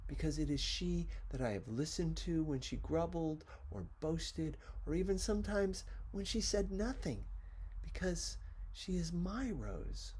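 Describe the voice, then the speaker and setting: A man's voice: on the high side, lively intonation, measured pace, a little mellow, a little hiss or hum. A man reading as an earnest young boy prince, heartfelt and insistent.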